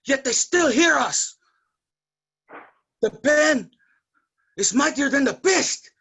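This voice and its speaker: An actor playing an earnest, impassioned Filipino labor organizer in a staged reading, declaiming to fellow workers. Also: male voice, very high voice, expressive, takes it slowly, creaky, fairly bright tone, full character voice. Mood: earnest, determined.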